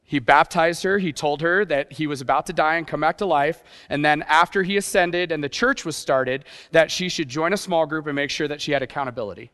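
A youth pastor preaching a sermon in a conversational way, with rhetorical questions and playful asides. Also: male voice, fairly high pitch, fairly quick, few pauses, fairly bright tone. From grew up partly in Japan. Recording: long hall reverb.

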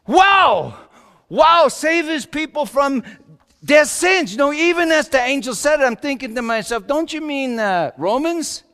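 A man playing Joseph, a wry, bewildered carpenter, in a halting, colloquial and theatrically emotional dramatic monologue. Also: very high voice, animated, fairly bright tone, pitched above the performer's own voice. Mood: wry, puzzled. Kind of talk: dramatic comic monologue in a sermon. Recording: echoey hall with long reverb.

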